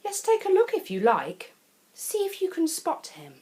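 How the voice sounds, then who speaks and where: A woman's voice: very high-pitched, huge pitch swings, moderate pace, noisy background. A woman tells a children's story expressively, with theatrical delivery and chatty asides to the listener. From England. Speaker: storyteller reading a children's picture book.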